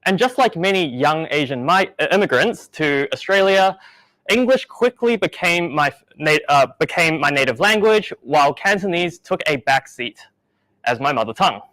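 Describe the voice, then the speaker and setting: Young man from New Zealand, tech meetup talk, slightly higher voice, dry close sound. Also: lively intonation, normal speed, fairly bright tone, no room sound, low-quality recording. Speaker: meetup speaker.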